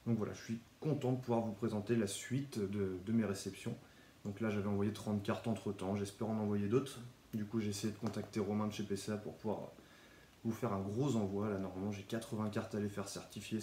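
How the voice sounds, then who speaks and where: Young man with a cold, mellow low voice, chatty card vlog in an echoey room. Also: a little hiss or hum. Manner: relaxed, chatty, with small restarts. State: has a cold, stuffy. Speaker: Pokémon card collector YouTuber. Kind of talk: casual card showcase vlog.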